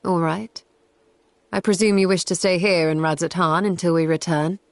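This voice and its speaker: A woman's voice: fairly low pitch, fairly even intonation, moderate pace, no echo, natural voice. A woman acting a poised, formal and courteous leader in a video game cutscene. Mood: courteous, resolute.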